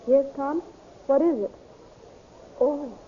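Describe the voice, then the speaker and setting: An actress playing an eager young captive princess in a melodramatic, breathless 1930s radio style. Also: female voice, high-pitched, takes it slowly, a little hiss or hum. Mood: hopeful, eager. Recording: muffled old radio recording.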